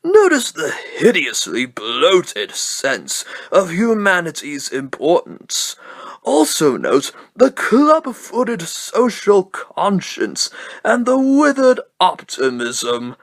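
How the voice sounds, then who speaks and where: Mad clown supervillain: slow, sardonic showman delivery, huge pitch swings, cartoon menace, dry close sound. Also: huge pitch swings, gravelly, no echo, heavily acted. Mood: mocking showman.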